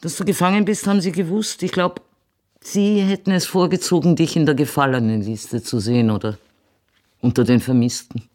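An actress playing an elderly, dignified and fond mother in a German radio play, with halting pauses and old-fashioned diction. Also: sits low, steady tone, warm tone, natural voice. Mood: wry, disapproving.

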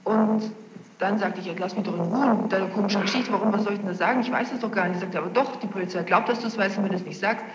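A woman's voice: medium pitch, fairly even intonation, rapid-fire. A young adult woman giving spontaneous, insistent testimony as a witness, repeating words for emphasis. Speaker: witness being questioned. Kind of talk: spontaneous testimony recounting events. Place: reverberant room, taped interrogation. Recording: noisy, muffled, echoey archival tape.

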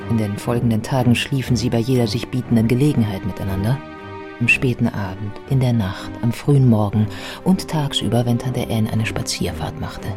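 A woman narrating a radio play from a script in a measured, factual storytelling manner. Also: very deep voice, over music.

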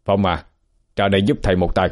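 A man's voice: deep voice, expressive, normal speed, mellow. A man voicing an older male healer and teacher who speaks firmly and tersely. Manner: firm, terse. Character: old male healer, stern and weary teacher.

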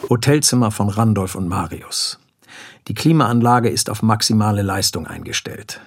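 An actor playing a detached narrator who reads scene directions in a terse, matter-of-fact way for a radio drama. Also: male voice, on the low side, moderate pace, lightly acted. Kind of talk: scripted stage-direction narration.

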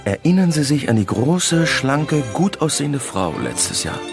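A man's voice: on the high side, big pitch swings, a little slow, gravelly, music in the background, full character voice. A man playing a gossipy, uneasy spa-town resident in a German radio play. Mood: conspiratorial, uneasy.

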